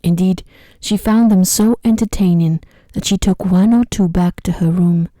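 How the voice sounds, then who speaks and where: A woman narrating a bedtime story in a soft, breathy, whispery ASMR voice, gently and soothingly. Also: fairly low pitch, no room sound, studio quality. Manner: slow, gentle, soothing delivery.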